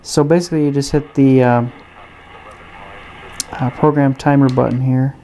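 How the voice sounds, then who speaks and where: A man giving a casual, unscripted product review and demonstration in a halting, hesitant way. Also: mid-range voice, fairly even intonation, crowd noise, poor audio. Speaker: gadget reviewer.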